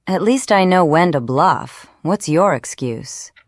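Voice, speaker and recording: A woman's voice: sits low, expressive, slow pace, no echo, clean studio sound. A woman playing a bold, teasing, defiant rogue in an audio drama, with a wry and challenging manner.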